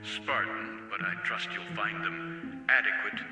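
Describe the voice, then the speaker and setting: An actor playing an eccentric rich host, smooth and sinisterly over-polite, in a radio drama. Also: male voice, on the low side, lively intonation, measured pace. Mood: coolly welcoming. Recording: echoey, reverberant voice over music.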